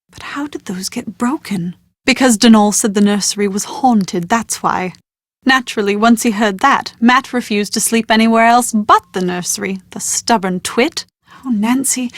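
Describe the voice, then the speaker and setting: An actress playing a chatty, anxious young British woman in a video game, with a posh, expressive and wordy way of talking. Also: fairly high pitch, no room sound, clean studio sound. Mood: exasperated, then worried. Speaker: voice actor in an adventure game. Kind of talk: scripted video game character dialogue.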